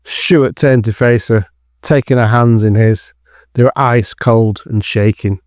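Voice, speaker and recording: A man's voice: medium pitch, fairly even intonation, slow, darkish tone, dry recording, clean studio sound. A man reading scripted narration for an audio drama as its narrator.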